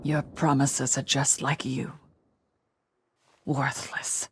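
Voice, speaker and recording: A woman's voice: rumbling low, little pitch movement, slow pace. A scornful hurt woman in a game drama, cold cutting restrained voice, faint noise behind. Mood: bitter scorn. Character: bitter, wounded woman, scornful former slave. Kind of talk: dramatic video game acting.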